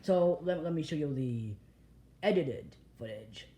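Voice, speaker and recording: A filmmaker narrating a behind-the-scenes vlog in a boastful, halting, repetitive way. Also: mid-range voice, animated, very noisy. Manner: boastful, self-congratulatory. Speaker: filmmaker making analog horror videos.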